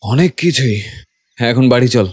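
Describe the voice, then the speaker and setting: A man playing a brisk, authoritative police detective in an audio drama, curt and guarded in his questioning. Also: mid-range voice, expressive, darkish tone, husky. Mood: firm, curt. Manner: curt, questioning, mixes in English phrases. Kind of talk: acted dialogue with background music.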